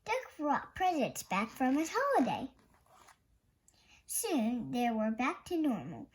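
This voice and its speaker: A young child narrates a storybook in a high, lively voice. The reading is slow and a little halting, with small stumbles that get corrected. The pitch moves up and down expressively. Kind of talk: read-aloud story narration.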